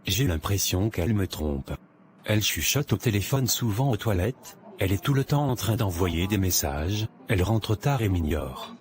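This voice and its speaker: A man playing a troubled, brooding young man in an animated drama, subdued and grave. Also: on the low side, normal speed, close to an everyday voice. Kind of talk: dramatic dialogue in an animated short. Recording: faint background noise.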